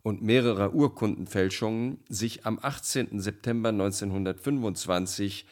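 Low-pitched man narrating a radio play. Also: moderate pace. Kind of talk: scripted documentary narration, reading official reports.

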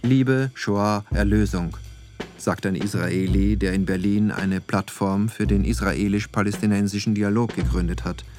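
A man performing as the sober narrator of a documentary radio play, reading in a measured, factual way over music. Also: on the low side, fairly even intonation, a little mellow, natural voice. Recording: studio voice over music bed. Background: clear music.

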